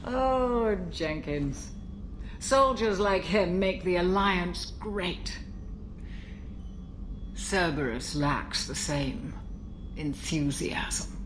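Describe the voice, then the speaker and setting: A woman playing a seasoned, caring military doctor in a video game, with a mature, measured voice and a wry, reflective way of talking. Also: fairly low pitch, lively intonation, a little hiss or hum. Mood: fond, nostalgic. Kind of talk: video game cutscene acting.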